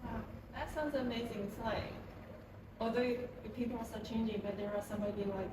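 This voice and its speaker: A woman hosting an interview in careful non-native English, a first-language Chinese speaker who pauses often on "uh". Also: fairly high pitch, fairly even intonation. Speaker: interviewer and video host. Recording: very echoey room, mic far off, noisy background.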